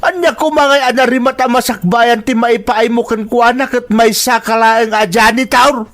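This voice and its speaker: A man's voice: very high-pitched, fairly even intonation, moderate pace, fairly bright tone. A man with an Ilocano accent acting a stern, disapproving father in a radio drama. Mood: scornful, threatening.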